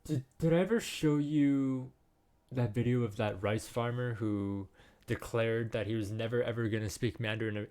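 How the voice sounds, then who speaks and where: A man co-hosting a podcast, chatting casually and often pausing with ums as he searches for words. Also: medium pitch, a little mellow, smooth. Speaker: podcast co-host. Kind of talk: casual chat.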